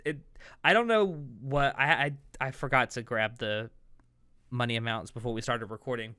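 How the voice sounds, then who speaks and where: A man with a bright, crisp-edged voice at a middle pitch hosts a podcast. He talks casually and with enthusiasm, offering his opinions freely. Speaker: podcast host.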